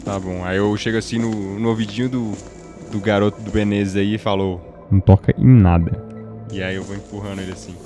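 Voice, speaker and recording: Tabletop game role: gruff, curt partner played by a man, slightly deep, rapid speech, impatient. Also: level tone, warm tone, faint music behind, close to an everyday voice. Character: gruff, impatient male partner shepherding a boy. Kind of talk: improvised tabletop roleplay.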